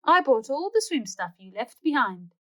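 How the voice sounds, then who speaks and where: A woman voicing a sensible, caring cartoon dog mum in a storybook, gently chiding. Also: high-pitched, very animated, moderate pace, pitched above the performer's own voice. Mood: pleased.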